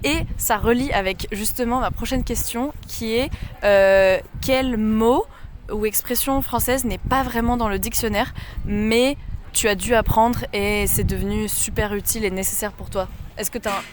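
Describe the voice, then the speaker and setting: A woman's voice: on the high side, fairly even intonation. Chatty woman interviewing on a street. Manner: chatty, quick, encouraging, lots of agreeing murmurs. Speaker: interviewer, channel host. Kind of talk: casual interview. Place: outdoors on a busy city street.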